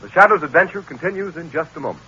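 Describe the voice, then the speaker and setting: A man's voice: on the high side, lively intonation, rapid-fire, sharp tone, lo-fi sound, a little hiss or hum. A man with an American accent announcing in formal, emphatic, salesmanlike style, as in a 1930s or 1940s radio commercial. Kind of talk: vintage 1930s-1940s radio advertising read.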